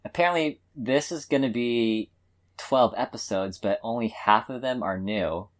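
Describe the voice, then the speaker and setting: A man's voice: medium pitch, a little slow. A man co-hosting a podcast in casual chat about anime, with a tentative, hedging way of talking. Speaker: podcast co-host.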